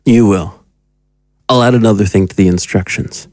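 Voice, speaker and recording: A man's voice: fairly low pitch, normal speed, a little mellow, no room sound, clean studio sound, natural voice. An actor playing a domineering, cruel occult magus who talks down to his pupil in an audio drama. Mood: menacing, condescending. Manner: condescending, commanding. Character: sinister, domineering male occult magus, cruel and mocking mentor.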